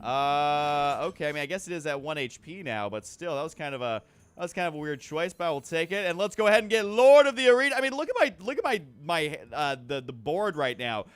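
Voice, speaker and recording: A man's voice: fairly high pitch, sing-song, a little fast, clean tone, no room sound. A man hosting a gaming video, talking casually through his moves as he thinks aloud in a rambling way. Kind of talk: casual card game commentary, thinking aloud.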